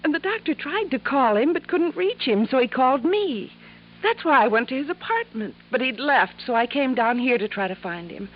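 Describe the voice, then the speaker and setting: A woman's voice: sits high, expressive, normal speed, dull audio. A woman playing an earnest young woman pleading for her uncle in a 1950s radio drama.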